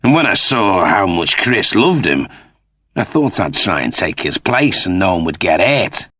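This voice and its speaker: A man's voice: low-pitched, big pitch swings, a little slow, sharp tone, breathy edge, no room sound, studio quality, heavily acted. A male narrator voicing a serious, guarded and menacing male space crewman in a sci-fi audiobook, speaking tersely. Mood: defensive, pleading.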